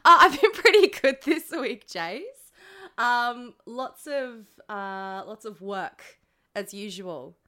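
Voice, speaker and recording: The stuffed-up, sore-throated Australian woman on a chatty comedy podcast, bright-voiced, swearing and poking fun at herself like she's catching up with a mate after a big weekend. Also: fairly high pitch, lively intonation, slow pace. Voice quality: slightly stuffy, congested. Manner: playful, self-mocking, sweary. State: sore throat from a cold night out. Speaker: podcast co-host. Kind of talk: casual comedic podcast chat.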